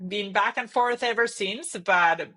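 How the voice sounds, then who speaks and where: A woman from Costa Rica speaks as an interview guest, with a bright, crisp voice at a middle pitch. She tells her story in an open, thoughtful way and often checks in with a quick "right?". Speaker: guest, company CEO. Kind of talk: interview conversation.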